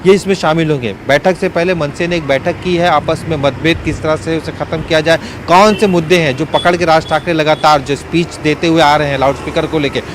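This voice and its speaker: Guy reporting live from outside, highish voice, kinda halting, bit of street noise behind. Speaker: field news reporter. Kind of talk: live unscripted news report. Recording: faint outdoor street and traffic noise.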